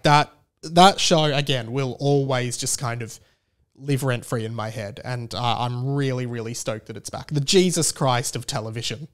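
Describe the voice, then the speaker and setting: An Australian man hosting a casual solo podcast, chatting in a rambling, self-interrupting way with emphatic repetition. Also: medium pitch. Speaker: podcast host.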